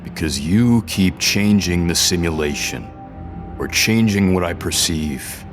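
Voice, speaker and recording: An actor playing a defiant, disoriented prisoner in an audio drama, a very deep-voiced man who is guarded, halting and stubborn. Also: animated, faint music behind. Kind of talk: scripted audio drama dialogue.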